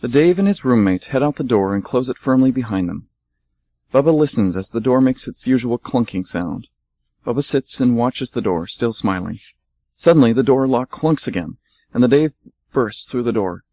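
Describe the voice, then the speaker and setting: Male narrator, steady and measured, mid pitch, dry close recording. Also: no room sound. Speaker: radio drama narrator. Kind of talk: scripted radio play narration.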